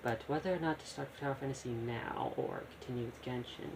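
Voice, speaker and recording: A man's voice: medium pitch, brisk, noisy background. A man giving casual live gameplay commentary, muttering to himself and throwing in mild exclamations. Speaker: game streamer. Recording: loud game music behind voice. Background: loud video game music.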